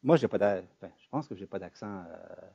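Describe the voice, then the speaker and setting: Mellow-voiced guy pushing his point hard at a seminar, dry sound. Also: sits low, a little fast, creaky, breathy edge, dry recording, lo-fi sound. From Ontario. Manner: emphatic, animated, rising loud on key points. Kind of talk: academic discussion.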